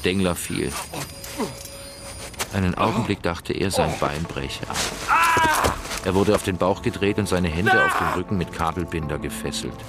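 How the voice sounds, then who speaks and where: An actor giving grave, scripted narration in a radio crime drama. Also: male voice, deep voice, normal speed, long pauses, loud music behind the voice.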